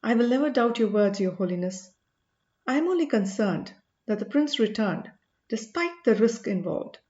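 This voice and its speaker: A woman's voice: fairly high pitch, lively intonation, normal speed, a little mellow, echoey room, dull audio, lightly acted. A woman voicing a respectful but wary man in an audiobook, deferential yet insistent in tone. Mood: cautious. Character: respectful, wary man questioning his holy elder. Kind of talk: audiobook character dialogue.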